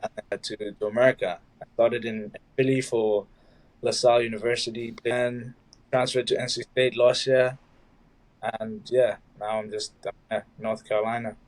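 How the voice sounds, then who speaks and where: A man's voice: medium pitch, normal speed, low-quality recording. A young man from South Africa, a college soccer player talking casually as an interview guest, loose and easygoing with lots of filler words. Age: young adult. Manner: relaxed, loose, many "like" fillers.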